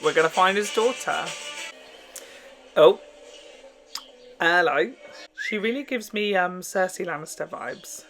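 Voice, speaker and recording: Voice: medium pitch, measured pace, long pauses, a bit bright, soft background music. A reaction host giving casual, chatty, exclamatory commentary on a show. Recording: TV show soundtrack playing behind.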